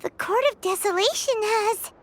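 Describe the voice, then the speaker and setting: A woman voicing a tiny, childlike floating fairy companion in a video game, eager and expressive. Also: extremely high pitch, normal speed, slight background noise. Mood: surprised, eager. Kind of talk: cute video game voice acting.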